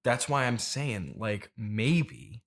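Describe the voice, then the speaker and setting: A man playing a grieving, mystical turnip farmer in an improvised comedy interview, rambling haltingly and earnestly. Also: fairly low pitch, big pitch swings, creaky, full character voice. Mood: wistful rambling.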